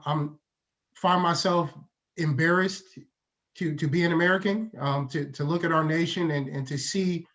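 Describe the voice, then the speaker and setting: Stammering man, fairly high voice, muffled lo-fi recording. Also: a bit bright. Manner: stammering, searching for words. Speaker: workgroup co-chair. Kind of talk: reflective community talk. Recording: dull lo-fi audio.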